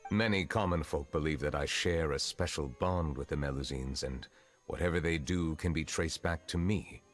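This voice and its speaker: An actor playing a reserved, centuries-old chief justice in a fantasy video game, speaking in measured, formal phrases. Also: male voice, rumbling low, soft background music. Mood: solemn, reflective. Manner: measured, formal, stately diction.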